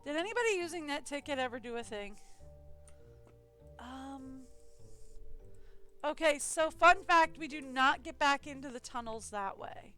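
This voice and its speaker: A woman's voice: sits high, bright, forceful tone. A woman streaming a game live, commenting in a chatty, sarcastic, self-mocking way.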